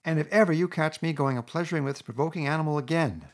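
A man's voice: on the high side, pitched above the performer's own voice, close to an everyday voice. A man doing a stern, raised-voice water rat. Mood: exasperated. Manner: firm, brisk, exasperated.

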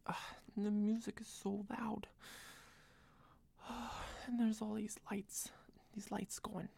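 A young woman doing a soft, close-mic whispered girlfriend roleplay, rambling and doting, a little tipsy. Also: medium pitch, little pitch movement, slow pace, gravelly, slightly rough, no echo. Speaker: ASMR roleplayer.

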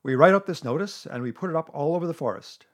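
A man's voice: a little high, animated, fast, voice pushed up, close to an everyday voice. A man voicing a pompous, self-important owl in a children's storybook, declaiming with emphasis. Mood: emphatic, pompous.

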